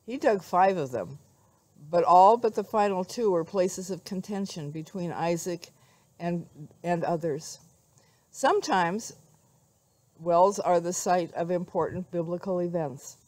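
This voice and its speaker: An older woman giving a devotional talk and scripture reading in a measured, reflective way. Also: fairly low pitch, a little mellow, dry recording. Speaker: devotional speaker. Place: outdoors among trees.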